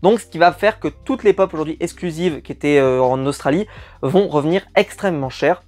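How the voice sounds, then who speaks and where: A man's voice: on the high side, a little fast, darkish tone. A man chatting to camera as a collectibles vlog host, enthusiastic and rambling, with many hesitant fillers. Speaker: YouTube collector channel host. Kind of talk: casual unboxing chat to camera.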